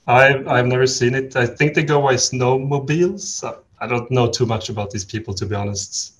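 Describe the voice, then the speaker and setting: A man from Sweden, a podcast guest in casual chat, telling stories in a relaxed way with many fillers. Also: mid-range voice, normal speed.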